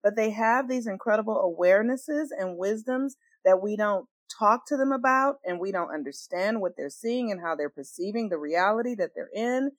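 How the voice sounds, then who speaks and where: An earnest woman telling her personal story as a guest in an interview, stressing her points with swings in loudness. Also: mid-range voice, animated, normal speed, few pauses, dry recording. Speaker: astrologer guest.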